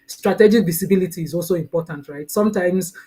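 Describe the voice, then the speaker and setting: A woman's voice: on the low side, fast talker, husky. A woman in her thirties with a Nigerian accent, speaking as a guest over a video call in a chatty, friendly way. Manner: warm, chatty, laughs often, says "right?". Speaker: guest, mentoring coach. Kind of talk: casual interview talk.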